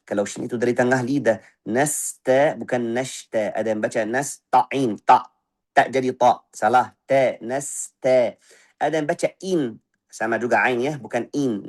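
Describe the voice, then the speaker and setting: A man teaching Quran recitation, correcting pronunciation by voicing the wrong and right sounds in turn and repeating short syllables slowly. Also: medium pitch. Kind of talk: tajwid lesson with spoken recitation examples.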